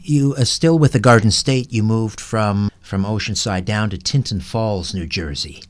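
A man's voice: lowish voice, moderate pace. A man hosting an interview, prompting and questioning conversationally. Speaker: interview show host.

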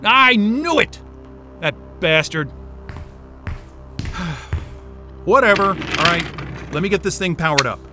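Stranded polar worker in audio drama: high-pitched, volatile range, profane, urgent, exasperated. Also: male voice, expressive, slow, pauses often, over music. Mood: irritated, hurried. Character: panicked, sweary man stranded at polar station.

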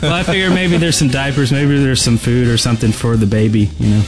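Male podcast co-host, casual chat, halting and rambling, mid-range voice, faint music behind. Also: mid-range voice, fairly even intonation, low-quality recording.

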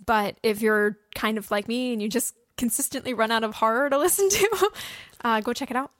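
A woman with a fairly high voice co-hosts a podcast in casual, enthusiastic chat. She talks informally, and the recording is dry, with very little room sound. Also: a little fast, fairly bright tone, no room sound. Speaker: podcast co-host. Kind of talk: casual chat recommending audio drama podcasts.

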